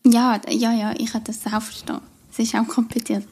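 A young woman with a Swiss German accent, talking casually as a podcast co-host. Her voice is fairly high but flat in melody, mellow and slightly husky. She speaks haltingly, with pauses and fillers. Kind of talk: casual literary podcast chat.

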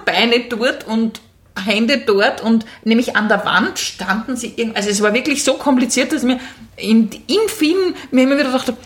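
A woman's voice: on the high side, moderate pace. A woman with an Austrian accent chatting casually as a comedy podcast co-host, often searching for her words. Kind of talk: casual improvised comedy chat.

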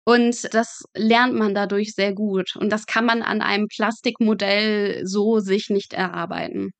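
A woman's voice: medium pitch, steady tone, unhurried, dry recording. A woman in her twenties, a student guest in an interview, telling anecdotes in a humorous, vivid, colloquial way. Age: 20s. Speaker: guest, medical student working in a pathology practice. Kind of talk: lively interview storytelling.

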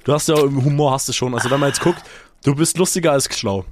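A man's voice: medium pitch, fast talker, background noise. Young male co-host, mid-range voice. Loose, slangy chat between mates. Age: young adult. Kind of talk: casual chat with slangy banter.

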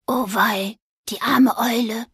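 A timid little ghost, as a woman puts him on, breathing out soft, whispery words slowly and sadly. His voice sits a little high and airy, recorded close and dry. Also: fairly even intonation, husky, no room sound, heavily acted. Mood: curious. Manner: soft, downcast and hesitant. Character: small sad ghost, gentle and timid.

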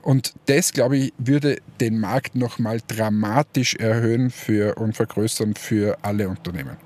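A man co-hosting a casual podcast chat, talking in a relaxed, joking and speculative way. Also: lowish voice, a little slow. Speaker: podcast co-host.

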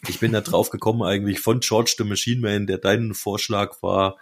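A man's voice: lowish voice, level tone, moderate pace. A man chatting casually as co-host of a podcast. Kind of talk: casual chat.

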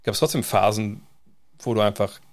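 A man hosting an interview, asking quick, chatty questions. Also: on the low side, fairly even intonation. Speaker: podcast host.

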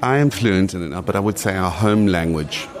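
A man's voice: sits low, expressive, normal speed. A man in his late forties with a South African accent, chatting in a casual interview and repeating phrases for emphasis. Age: late 40s. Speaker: podcast guest.

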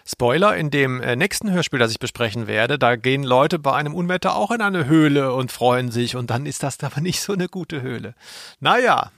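Creaky-voiced man, dry sound. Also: mid-range voice, lively intonation, a little fast, a bit bright, no echo. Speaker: podcast co-host. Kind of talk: casual chat.

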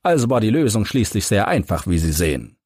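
Brisk cocky army major a guy acting it for an audiobook, super animated pitch, bit raspy. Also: dry recording, studio quality. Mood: brisk, smug. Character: brisk military major, loud and self-assured. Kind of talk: audiobook character reading.

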